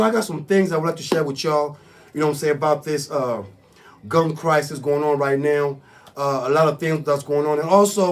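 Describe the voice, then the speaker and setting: A man with a raspy voice hosting a casual, improvised community radio show in an exclamatory hype style, repeating catchphrases. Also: fairly high pitch. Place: radio studio.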